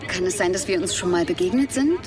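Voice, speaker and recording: A woman's voice: on the low side, expressive, fast, crowd noise, close to an everyday voice. A woman acting a polite, curious television journalist in naturalistic radio drama dialogue. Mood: polite, hesitant.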